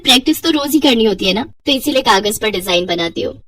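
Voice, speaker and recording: A woman's voice: on the high side, expressive, quick pace, a bit bright, far from the mic. A woman voicing a sweet, eager young woman in a Hindi cartoon story.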